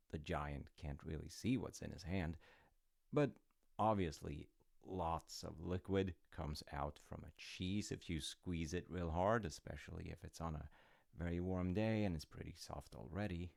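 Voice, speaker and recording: A very low male voice with a creaky, gravelly edge, close and dry. A man plays an easygoing middle-aged dad in an audio roleplay, telling a bedtime folktale with wry, casual asides and no hurry at all. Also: dry recording. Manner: unhurried, musing, gently wry. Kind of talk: intimate roleplay storytelling with casual rambling asides.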